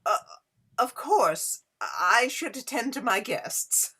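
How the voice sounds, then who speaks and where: Woman acting grand flirtatious society lady, husky, bright forceful delivery, slow coy phrasing, period stage drama. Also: fairly high pitch, very animated, exaggerated voice. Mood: flustered, polite. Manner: affected, theatrical, coy drawn-out phrasing. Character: flirtatious, gushing high-society Gilded Age hostess. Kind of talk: play reading, period drama. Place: indoors, remote online reading.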